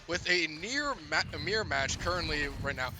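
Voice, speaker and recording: A man's voice: on the high side, big pitch swings, normal speed. A man giving color commentary on a school esports match, breaking down strategy and joking as he goes. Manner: jokey, breaks down strategy with playful quips.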